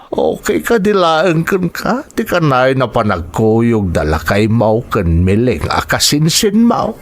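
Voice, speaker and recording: A man's voice: mid-range voice, very animated, slow, exaggerated voice. A man with an Ilocano accent playing a gossipy older man in an audio drama, confiding and teasing with hinting interjections. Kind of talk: dramatized audio serial acting.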